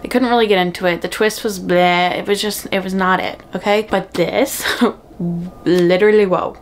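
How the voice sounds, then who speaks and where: A woman's voice: mid-range voice, steady tone, normal speed. A young woman chatting casually to camera as a book vlogger, gushing and slangy, with dramatic emphasis on her words.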